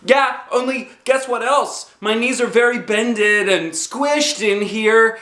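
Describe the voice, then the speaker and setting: A man voicing an excitable, indignant kindergarten girl in a children's storybook, with childish, blurting, emphatic delivery. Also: very high voice, takes it slowly, reverberant, pitched above the performer's own voice, exaggerated voice. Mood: complaining. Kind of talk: children's storybook read aloud.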